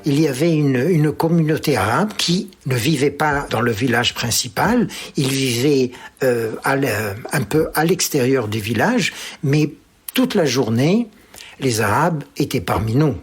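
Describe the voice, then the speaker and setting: A man's voice: medium pitch, lively intonation, unhurried, breathy edge. A man in his seventies, born in Algeria, speaking with a Pied-Noir French accent and recalling his childhood with many hesitations and restarts. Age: 70s. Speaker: interviewee. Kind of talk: personal reminiscence.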